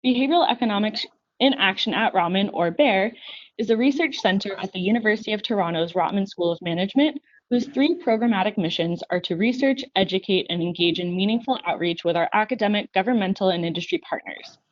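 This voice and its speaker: A young woman moderating a webinar, reading a formal introduction clearly and steadily over a video call. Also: medium pitch, fast. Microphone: video call microphone. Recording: slightly dull lo-fi online call audio.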